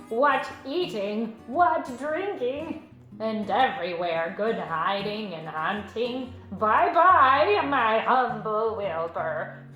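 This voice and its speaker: A storybook rat, sneering and greedy, acted out by a woman with slow, stretched words that swoop up and down. He relishes himself and looks down on everyone, in an echoey recording with music behind. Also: fairly high pitch, fairly bright tone, exaggerated voice. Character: sneering, greedy, pleasure-loving male rat.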